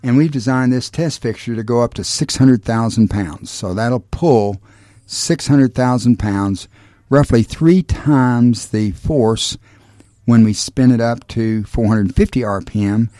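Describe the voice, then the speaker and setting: A man giving a measured technical explanation in an interview, stressing the figures. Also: medium pitch, warm tone, no echo, clean studio sound. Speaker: aircraft designer being interviewed.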